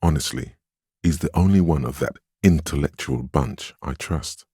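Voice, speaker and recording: A man voicing a steadfast inner voice of willpower in a video game, a stern yet encouraging mentor with a measured, solemn delivery and a gravelly, husky tone. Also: a bass voice, mellow, no echo, clean studio sound, full character voice. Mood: measured, firm. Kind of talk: scripted game narration, second-person counsel.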